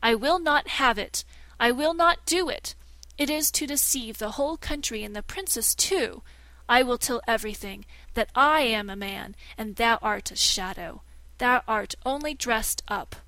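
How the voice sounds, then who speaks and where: A woman voicing an honest, indignant male scholar who protests loudly against a deception. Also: a little high, unhurried, dry recording, poor audio. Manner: loud, protesting.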